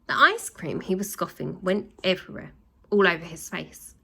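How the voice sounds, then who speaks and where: A woman narrating a children's book aloud in an animated storytelling style. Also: fairly low pitch, moderate pace, gravelly, breathy edge.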